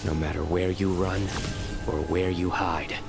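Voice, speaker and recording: An actor playing a stern, measured male law enforcer with deadpan delivery in a video game. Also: sits low, gravelly, over music. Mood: grave, threatening.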